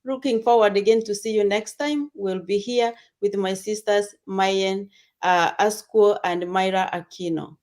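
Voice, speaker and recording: A woman's voice: mid-range voice, unhurried, a little mellow. A woman hosting a casual online discussion show.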